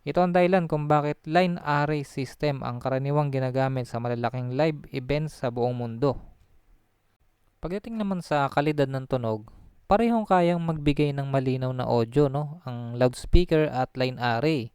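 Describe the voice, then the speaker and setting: A man's voice: mid-range voice, normal speed, a little mellow, clear, resonant tone, dry recording, studio quality. A man talking through a casual explainer, chatty, full of fillers and restarts, dropping his voice on tag phrases. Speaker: channel host explaining audio gear. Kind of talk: casual explainer, voice-over on slides.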